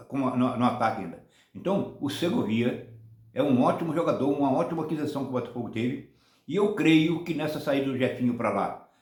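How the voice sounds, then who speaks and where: A man in his seventies from Brazil, rambling through casual football commentary on his own channel, often ending points with a quick "tá?". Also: medium pitch, a little mellow, roomy sound. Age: 70s. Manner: rambling, many restarts and tag "tá?".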